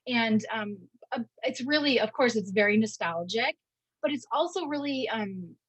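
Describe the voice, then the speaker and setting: Woman lecturer, slightly husky, halting. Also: a little high, expressive, fairly bright tone, no room sound, rough recording. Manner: halting, checking in with frequent "right?". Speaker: university professor giving a lecture. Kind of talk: informal academic lecture talk.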